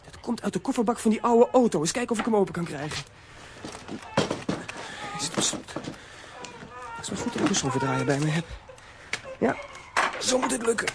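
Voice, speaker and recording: A woman's voice: a little high, wildly swooping pitch, moderate pace, vocal fry, long pauses, very noisy, full character voice. A woman playing a plucky, determined boy hero in a children's audio drama, earnest and eager. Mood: curious, determined.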